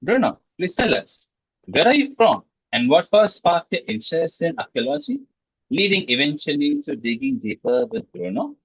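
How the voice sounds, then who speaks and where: A man with a raspy voice hosts an interview in a polite, scripted way. His pitch moves with plenty of variation. The recording is dry and somewhat muffled. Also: very animated, moderate pace, no echo. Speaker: interviewer, host. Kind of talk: scripted introduction and questions. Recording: dull, lo-fi, dry sound.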